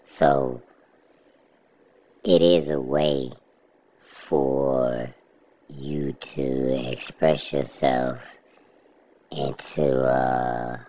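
Man with a very deep, gravelly voice, slow and steady monotone delivery; casual monologue, dull lo-fi sound. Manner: steady, plainspoken, self-described monotone. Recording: dull lo-fi recording.

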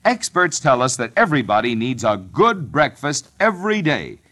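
Very animated male radio ad announcer. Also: medium pitch, moderate pace. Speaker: commercial announcer. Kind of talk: old-time radio advertisement read.

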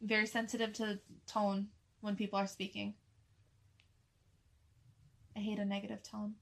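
A woman's voice: medium pitch, monotone, moderate pace, vocal fry. A woman co-hosting a podcast, chatting casually and laughing often. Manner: warm, giggly, calls others "girl". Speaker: podcast co-host. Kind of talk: casual chat.

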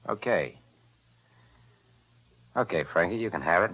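A man's voice: sits low, lively intonation, unhurried, fairly bright tone, slightly rough, exaggerated voice. An actor playing a tough male crook who turns cold killer, in a 1940s radio drama with streetwise slang. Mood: wary. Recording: muffled old radio broadcast.

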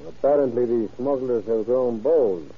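Guy doing grave mexican army general, husky, 1930s radio drama, muffled old recording. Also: mid-range voice, moderate pace, natural voice.

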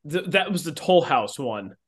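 A man's voice: on the high side, lively intonation, normal speed, slightly rough. A man from the United States chatting casually as a podcast co-host. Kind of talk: casual chat.

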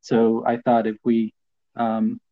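A man co-hosts a casual podcast. He speaks hesitantly, with frequent fillers and restarts, and talks down his own skills. His voice sits at an ordinary pitch, and the recording sounds a bit dull and lo-fi. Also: monotone, slow. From Poland. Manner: hesitant, many ums and restarts, self-deprecating. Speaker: podcast co-host.

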